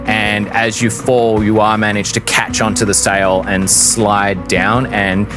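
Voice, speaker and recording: A man narrating a tabletop role-playing game as game master, in a dramatic, deliberate storytelling manner. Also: fairly low pitch, flat delivery, over music.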